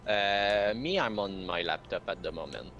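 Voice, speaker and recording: A guest chatting casually on a game stream, easygoing and quick to laugh. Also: low voice, a bit bright, muffled recording. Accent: regional accent. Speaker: co-host on a stream. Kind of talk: casual chat. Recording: game music behind.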